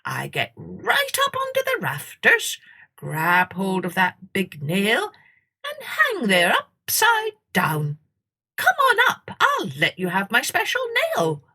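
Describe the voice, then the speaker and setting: A woman's voice: medium pitch, huge pitch swings, takes it slowly, pushed and bright, husky, exaggerated voice. A woman voicing a cheerful little bat in a storybook reading, chirpy and breezy.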